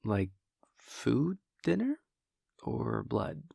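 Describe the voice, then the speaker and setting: Casting: male actor as a wry, affectionate vampire boyfriend for intimate audio roleplay; unhurried, gravelly, casual and teasing. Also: medium pitch, huge pitch swings, dark tone, no echo, exaggerated voice. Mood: playful, fond. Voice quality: breathy, gravelly, often dropping near a whisper. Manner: wry, teasing, hesitant, chuckling casual delivery.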